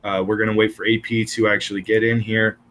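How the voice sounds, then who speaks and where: A man's voice: fairly low pitch, little pitch movement, quick pace, fairly bright tone. A man hosting a live stream, chatting casually and bluntly with heavy swearing and exasperated rants. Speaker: stream host. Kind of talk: casual profane stream chat.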